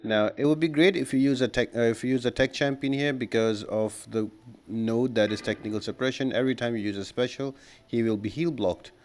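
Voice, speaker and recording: A man's voice: medium pitch, fairly quick. A man giving instructional gameplay commentary, explaining tactics step by step as a guide creator.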